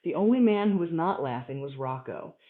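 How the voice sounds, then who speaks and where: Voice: mid-range voice, lively intonation, brisk. Echoey, phone-like voice reading a comic story. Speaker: reader of a humorous story. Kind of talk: scripted comic first-person narration, read aloud. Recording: echoey room, thin phone-like sound.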